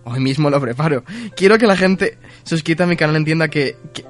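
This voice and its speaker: A man's voice: fairly high pitch, a little fast, faint music behind. A young man, co-hosting a casual podcast in a wry, joking manner and dropping in English phrases. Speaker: podcast co-host. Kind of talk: casual chat.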